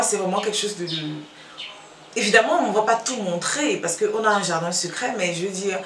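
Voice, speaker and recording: A woman's voice: mid-range voice, a little hiss or hum. A woman from Cameroon with a Belgian-tinged Cameroonian French accent, chatting casually to camera as a YouTuber, repeating phrases and trailing off. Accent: Cameroonian French with a Belgian touch. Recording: echoey room, mic at a distance.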